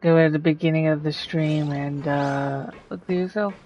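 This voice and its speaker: A woman's voice: low voice, steady tone, normal speed, mellow, lo-fi sound, a little hiss or hum. A woman live streamer chatting casually with viewers over a game.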